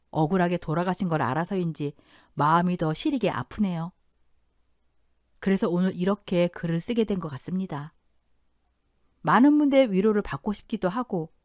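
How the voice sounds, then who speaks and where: A woman in her thirties tells a first-person story with a dramatic, emotional delivery. Her pitch moves around a lot and lands on a middle register. The recording is dry and close, with no room sound. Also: a little mellow, no room sound. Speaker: story narrator.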